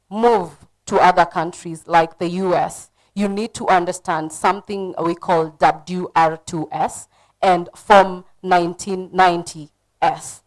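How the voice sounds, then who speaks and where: A woman's voice: on the low side, rough recording. A woman with a Kenyan accent speaking as a panelist at a conference, giving direct, earnest advice.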